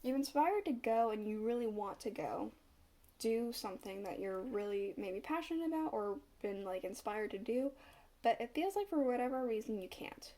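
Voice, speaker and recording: A woman's voice: fairly high pitch, expressive, normal speed, dark tone. Stuffed-up woman reading tarot cards. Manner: musing, thinking aloud as cards turn. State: stuffed up, slight cough. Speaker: tarot reader. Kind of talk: casual tarot reading to camera.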